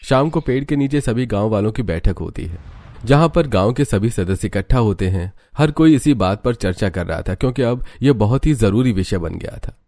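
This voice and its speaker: A man's voice: lowish voice, animated, normal speed, darkish tone, no echo, studio quality. A man narrating a scripted cartoon village story as its storyteller.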